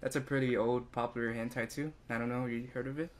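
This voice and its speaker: A man's voice: mid-range voice, fairly even intonation, fast. A man hosting a casual, unscripted talk podcast, informal and a bit hesitant between topics.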